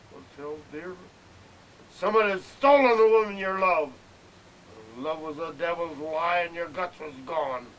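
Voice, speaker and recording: A man giving a theatrical recitation of a narrative frontier ballad, swelling with emphasis and dropping to hushed lines. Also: high-pitched. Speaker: amateur poetry reciter. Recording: noisy amateur VHS home video.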